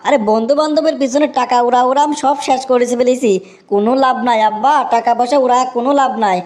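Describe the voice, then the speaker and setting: Cartoon schemer, broke young guy with a Bogura accent, voiced by a woman; high and rapid. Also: fairly even intonation, darkish tone, lightly acted. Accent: Bogura dialect of Bangla. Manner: fast, whiny and wheedling, comic rants. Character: scheming broke young man, boyfriend and son.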